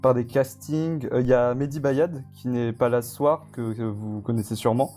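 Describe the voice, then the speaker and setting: A man's voice: medium pitch, fairly even intonation, moderate pace, warm tone, faint music behind. A man speaking informally and hesitantly as a guest in a casual live interview, rambling a little as he explains. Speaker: guest, audio fiction creator.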